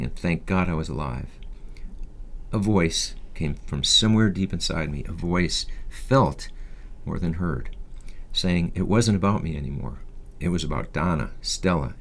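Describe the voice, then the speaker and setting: A man in his fifties with a deep, low-pitched voice reads a personal story aloud. It is scripted first-person narration in the manner of an audiobook, delivered by the author. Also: moderate pace. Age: 50s. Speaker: author reading a personal memoir.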